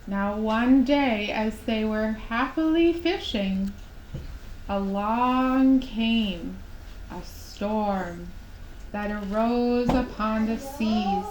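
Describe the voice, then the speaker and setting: A woman narrates a children's tale at a very slow, careful pace, pausing gently between phrases. Her voice sits a little high. It echoes around the room, with some background noise. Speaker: puppet show storyteller. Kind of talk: children's storytelling, scripted narration. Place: indoor room at a live puppet show. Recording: roomy echo, clear background noise.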